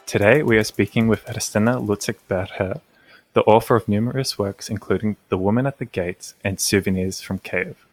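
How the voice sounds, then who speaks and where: A man with a fairly low voice hosts a podcast. He opens with a scripted introduction over faint background music, then moves into friendly interview questions with a few hesitant fillers. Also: moderate pace, low-quality recording. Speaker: podcast host.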